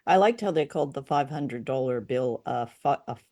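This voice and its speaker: A woman's voice: rumbling low, expressive, a little fast, warm tone. A woman co-hosting a chat about old radio drama, talking in a casual, somewhat hesitant conversational way. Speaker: co-host of an old-time radio drama discussion show. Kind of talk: casual chat, review commentary.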